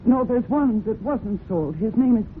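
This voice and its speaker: A woman's voice: fairly high pitch, animated, moderate pace, natural voice. Actress as a fraught horsewoman on muffled old radio. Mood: flustered, evasive. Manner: fraught, breathless, emotionally heightened delivery. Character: distraught, fiercely protective horsewoman narrating her own crime story. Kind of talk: dramatic old-time radio acting with first-person narration. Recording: muffled phone-like old radio recording with hiss.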